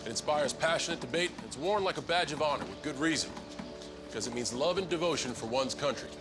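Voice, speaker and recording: A man's voice: a little high, lively intonation, fast. An American man giving earnest scripted narration for a public service ad. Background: music.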